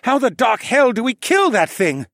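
Guy doing an excitable falcon man fighter, way up high, wry sidekick in a fantasy audiobook. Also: extremely slow, no echo, studio quality, heavily acted. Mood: tense, urgent. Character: Horace, eager mischievous male falcon-man warrior comrade.

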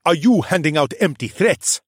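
A man's voice: mid-range voice, expressive, moderate pace, breathy edge, dry recording, clean studio sound, pitched above the performer's own voice. A man voicing a proud, defiant male cheetah demi-human captive who snarls and sneers. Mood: sneering.

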